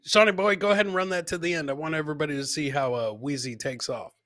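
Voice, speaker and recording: A man's voice: on the high side, fast, a bit bright, no room sound. Casual male podcast host, very dry sound. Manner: informal, repeats his own lines. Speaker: podcast host and stand-up comedian. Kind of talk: casual live reaction chat to video clips.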